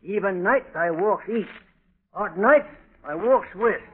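An actor playing a talkative, deferential working-class British railway track walker in a scratchy 1930s radio drama. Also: male voice, high-pitched, expressive, takes it slowly, husky, exaggerated voice. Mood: obliging, chatty. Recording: scratchy, tinny, noisy 1930s radio recording.

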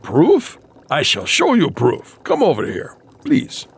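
An actor playing a proud, eccentric scientist, formal and theatrical, in a campy audio drama. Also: male voice, fairly high pitch, huge pitch swings, slow, husky, heavily acted. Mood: eager, boastful. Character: eccentric foreign male scientist, proud and eager.

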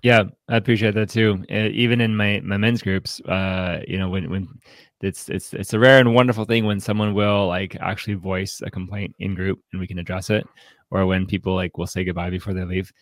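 A man with a fairly low voice hosts a podcast in a casual, conversational style. He is playful and jokey as he talks. The sound is dry and close, with little room around it. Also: fairly even intonation, brisk, no echo. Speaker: podcast host.

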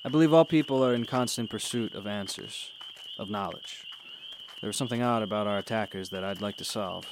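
An actor playing a confident, philosophical mentor in a radio drama, with a teasing, cryptic manner. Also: male voice, lowish voice, brisk, background noise, lightly acted. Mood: calm, cryptic. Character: cryptic, wry, confident male adventurer and mentor. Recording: music behind the voice.

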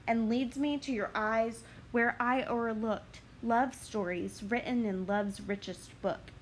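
A woman voicing an ardent young man in love, reading verse in a slow, earnest way. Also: on the high side, a little hiss or hum, rough recording. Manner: earnest, measured verse delivery. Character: ardent young man, lovestruck and smooth-talking.

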